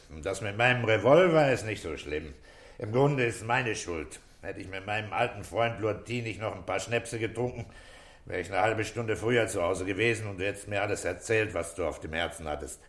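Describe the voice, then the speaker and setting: An actor playing a middle-aged police inspector in a German radio drama, speaking in a calm, coaxing, fatherly and shrewd manner. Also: on the low side, normal speed, lightly acted.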